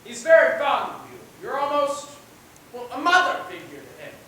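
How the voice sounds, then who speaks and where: A woman acting as a confident, formal professor, measured and explanatory, in an amateur student play. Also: high-pitched, a bit bright. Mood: impressed. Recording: echoey stage, distant mic, faint hiss.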